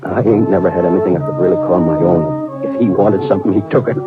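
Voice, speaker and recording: An actor playing a jealous, resentful cowhand who talks rough and bitter, in an old radio drama. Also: male voice, low voice, fast, natural voice. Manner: rough rural speech, bitter and brooding. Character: jealous resentful cowhand brother, married man. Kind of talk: 1950s radio drama acting. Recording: tinny old radio broadcast with music.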